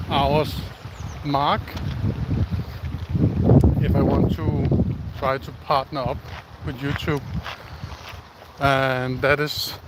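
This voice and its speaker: Slow, halting Danish man outdoors. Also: fairly high pitch, noisy background. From Denmark. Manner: slow, halting, many pauses and fillers. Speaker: live-streaming vlogger. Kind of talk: casual live-stream chat while walking outdoors. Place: outdoors in the countryside.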